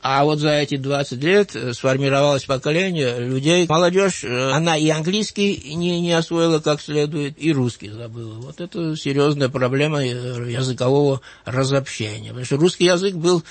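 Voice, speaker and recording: Older Georgian-accented man, thoughtful and halting. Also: on the high side, no echo. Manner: thoughtful, measured, frequent hesitant "uh" fillers. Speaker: writer, interview guest. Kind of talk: reflective interview recollection.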